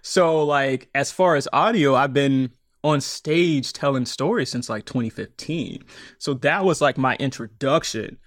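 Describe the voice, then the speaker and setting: A man who is a stage storyteller, talking casually in an interview, animated and often laughing as he acts out his own remarks. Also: medium pitch, measured pace, no echo, studio quality. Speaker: storyteller and podcaster, guest.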